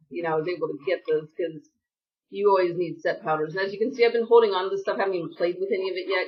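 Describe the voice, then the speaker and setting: A woman's voice: on the low side, recorded from a distance. Woman beauty vlogger, casual rambling haul chat, sniffly, a bit off-mic, dull lo-fi sound. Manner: chatty, rambling, apologetic asides. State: sniffly from allergies.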